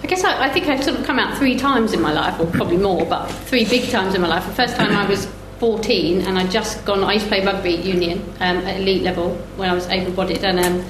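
A woman's voice: medium pitch, fast talker, hardly any pauses. Candid woman in an echoey, noisy room. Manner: candid, wry. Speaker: panellist, team sports athlete. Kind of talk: personal storytelling at a panel talk. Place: live festival panel in a roomy venue. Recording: echoey room with clear background noise.

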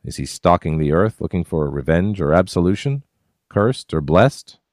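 A wry, easygoing prospector man with a low, unhurried voice, as the narrator puts him on. He muses half-jokingly, recorded close and dry. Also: lively intonation, a little mellow, no room sound. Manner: wry, musing.

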